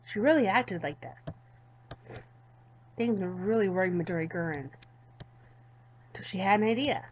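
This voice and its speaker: A woman's voice: medium pitch, animated, measured pace, slight background noise. Woman gaming narrator, slightly lo-fi sound. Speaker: gaming YouTuber. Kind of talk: visual novel read-aloud and casual commentary.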